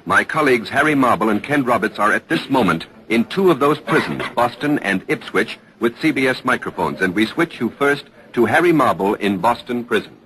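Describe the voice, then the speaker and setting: A man's voice: medium pitch, animated, brisk, hardly any pauses, bright, forceful tone. A man playing an urgent live radio reporter, giving clipped, dramatic commentary in 1940s news style. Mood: intent, hushed urgency. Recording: faintly noisy old radio broadcast.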